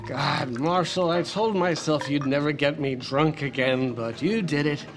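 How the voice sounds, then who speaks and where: A man's voice: on the high side, unhurried, noisy background. An actor playing a troubled, respectable male senator, slightly drunk and exasperated, in a period audio drama. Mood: tipsy, uneasy. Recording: music under the voice.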